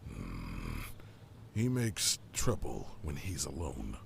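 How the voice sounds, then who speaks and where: A man's voice: very deep voice, huge pitch swings, slow, creaky, slight background noise, exaggerated voice. A man playing an anxious, cautious god in an audio drama, earnest and protective of his brother. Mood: worried, cautious.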